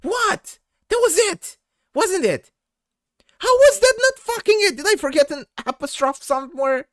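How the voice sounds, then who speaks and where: A woman voicing a shouty, foul-mouthed young male troll, reading comic dialogue in a loud, rapid, self-loathing rant. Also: extremely high pitch, animated, no room sound, studio quality, voice pushed up. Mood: indignant. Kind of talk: reading webcomic dialogue aloud.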